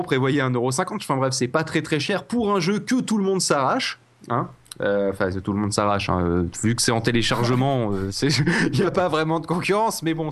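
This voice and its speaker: A man hosting a casual comedy podcast, rambling and joking with many fillers, his voice a little rough from a slight cold. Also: mid-range voice. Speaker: podcast host.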